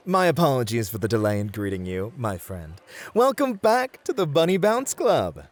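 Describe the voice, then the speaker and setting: Man acting a lilting, flirty gentleman club host. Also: medium pitch, huge pitch swings, moderate pace, no echo. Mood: apologetic, flustered.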